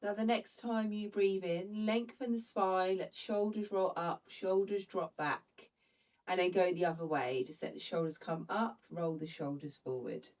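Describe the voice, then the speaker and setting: A woman, a bit congested from hay fever, calmly guiding a follow-along Pilates workout as the instructor. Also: on the low side, moderate pace, far from the mic, rough recording. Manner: calm, gentle cueing.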